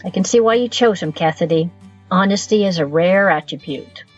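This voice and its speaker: A woman playing a shrewd, commanding brothel madam in an audio drama, poised and probing, with a husky voice. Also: on the low side, normal speed, highs cut off, faint music behind, natural voice.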